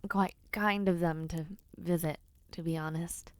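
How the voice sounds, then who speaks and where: A woman playing a spirited, playfully impatient young noblewoman who hopes to be a knight, in an expressive audio roleplay spoken straight to the listener. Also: on the low side, measured pace, smooth, no echo, natural voice. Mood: calm, reflective. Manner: expressive, sighing, genteel wording with teasing asides. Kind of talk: audio roleplay acting, addressing the listener directly.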